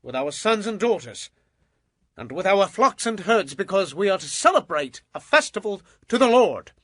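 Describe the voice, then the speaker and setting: A bold, high-voiced, animated prophet. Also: male voice, normal speed, dry recording, studio quality. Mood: defiant warning. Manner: declamatory, emphatic. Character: Moses, bold, defiant Hebrew prophet confronting a king. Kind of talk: dramatized audio Bible acting.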